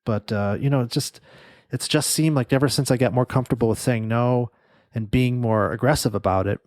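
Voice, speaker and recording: A man's voice: medium pitch, fairly quick, darkish tone, no echo. A man with a nasal voice hosting a solo podcast, talking casually and rambling with many fillers and restarts into a studio microphone. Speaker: podcast host and agency owner.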